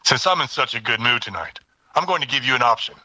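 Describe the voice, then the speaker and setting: A man's voice: medium pitch, lively intonation, fast, sharp tone, dry recording, low-quality recording. A man playing a smug, menacing character who toys with people, in an audio drama. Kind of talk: audio drama acting, outtakes.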